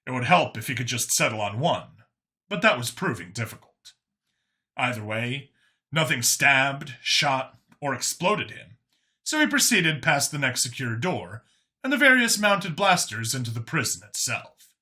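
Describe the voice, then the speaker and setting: A man's voice: mid-range voice, very animated, unhurried, bright, forceful tone. A man reading a science fiction story as a scripted audiobook narrator.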